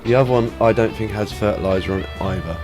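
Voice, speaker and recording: Brief: male gaming host, lower voice, sniffly head cold, rambling chatty commentary, faint music underneath. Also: fast. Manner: chatty, rambling, many ums and uhs. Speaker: let's play host. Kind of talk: casual gameplay commentary.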